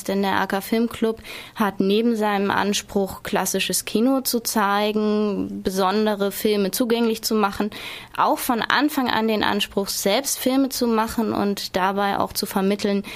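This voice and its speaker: A young woman as a radio interview guest, explaining things in an articulate, informative and orderly way. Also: mid-range voice. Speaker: interview guest, exhibition curator.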